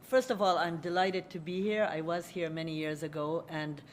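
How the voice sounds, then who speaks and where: An older woman whose first language is Hungarian, speaking in a low-pitched voice at a lecture podium. She talks in a conversational, personal way and addresses the children in the audience. A faint rumble sits under the podium microphone. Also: steady tone, moderate pace. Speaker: guest lecturer. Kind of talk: public lecture.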